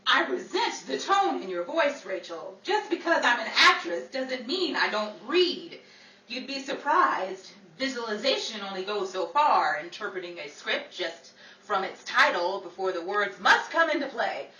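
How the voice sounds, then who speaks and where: A woman playing a witty, exasperated Hollywood actress in a 1940s-style radio comedy, theatrical and quick with dry comebacks. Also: fairly high pitch, sing-song, sharp tone, exaggerated voice. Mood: wry, needling. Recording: roomy, distant, noisy, crowd sound behind.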